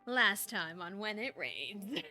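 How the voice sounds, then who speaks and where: A woman with a very bright voice speaks at an unhurried pace on a casual livestream. She is informal and a bit giggly, moving between chat and story reading. Faint music plays behind her. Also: medium pitch, very animated. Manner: giggly, self-deprecating, informal. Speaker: streamer reading fan fiction aloud on a livestream.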